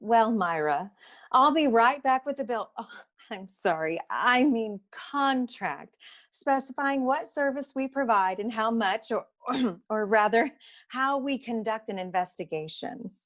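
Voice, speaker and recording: Woman doing a chatty bossy detective secretary, noir comedy, kinda high voice, brisk and wisecracking. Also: expressive, fairly bright tone. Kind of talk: comic noir radio play acting.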